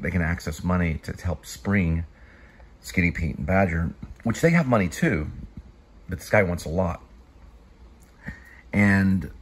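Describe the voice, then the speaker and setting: A man in his fifties talking casually in a rambling, halting way, often digressing and restarting phrases as a show host. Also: deep voice, breathy edge. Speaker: show host, actor and teleplay writer. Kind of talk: rambling casual vlog talk.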